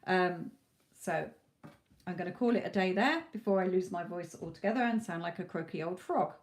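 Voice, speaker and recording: A woman giving live tarot readings to viewers, talking reassuringly, her voice going a little hoarse from hours of talking. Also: lowish voice, normal speed. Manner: reassuring, calls viewers "my lovely". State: losing her voice, a bit hoarse. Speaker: tarot reader.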